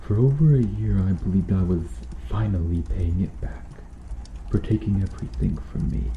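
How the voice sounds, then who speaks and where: A man's voice: on the low side, lo-fi sound, lightly acted. A man playing a brooding, remorseful and protective medieval knight in an ASMR roleplay, speaking formally and solemnly with archaic phrasing. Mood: bitter, shaken. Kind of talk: ASMR roleplay, slow dramatic acting addressed to the listener. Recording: roomy echo, faint background noise.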